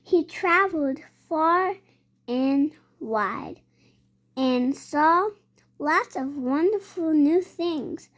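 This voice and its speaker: Child reader, high voice, very slow and hesitant storybook read-aloud, repeats words while sounding them out. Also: animated, a bit bright. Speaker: young reader.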